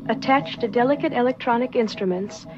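A woman delivering scripted documentary narration in a formal, measured manner. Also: on the high side. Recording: dull lo-fi old film soundtrack. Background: music.

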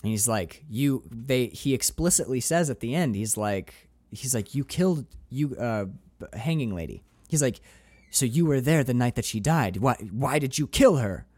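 Animated man chatting about a film. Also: medium pitch, normal speed, dry recording. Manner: animated, rambling with restarts and profanity. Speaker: podcast co-host. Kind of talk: casual film discussion.

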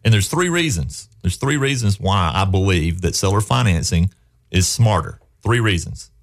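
A man's voice: low voice, animated, normal speed. A man hosting a real estate radio show in a studio, talking in a folksy, persuasive way and asking leading questions. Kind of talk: casual radio talk.

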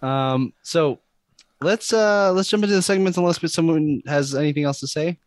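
A man hosting a casual podcast, chatting about a movie in a relaxed, joking way. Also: a little high, normal speed, no echo. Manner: relaxed, joking, leads the segments. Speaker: podcast host.

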